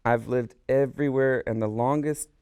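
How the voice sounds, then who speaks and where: A man's voice: medium pitch, fairly even intonation, normal speed, a little mellow, clear, resonant tone, dry recording. A man whose first language was Korean, a guest artist and musician in a radio studio, telling his story in a rambling, eager way. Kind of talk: casual interview chat.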